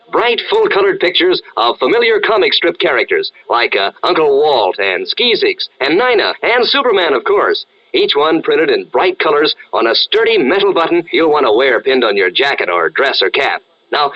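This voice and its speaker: A man announcing a 1940s-style radio advert for children with a chummy, enthusiastic sales manner. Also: a little high. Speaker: commercial announcer. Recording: muffled old-time radio sound.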